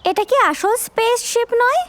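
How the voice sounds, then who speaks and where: A woman voicing a tiny, cheeky cartoon creature with a squeaky voice. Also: animated, normal speed, fairly bright tone, a little hiss or hum. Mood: chirpy. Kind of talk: animated cartoon dubbing. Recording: music behind the voice.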